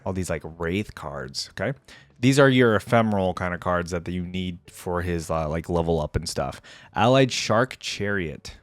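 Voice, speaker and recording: A man's voice: sits low, animated, normal speed, dry recording. A man hosting a casual reaction video about game voice lines, chatting in a laid-back, conversational, amused way.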